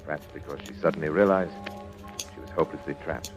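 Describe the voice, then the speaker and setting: A low-pitched, cold and controlling doctor from a vintage radio thriller. He speaks in formal, deliberate, theatrical phrases, every inch the murderous man of science, with dramatic music underneath. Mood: grave narration. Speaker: radio drama actor and narrator. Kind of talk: old-time radio suspense acting.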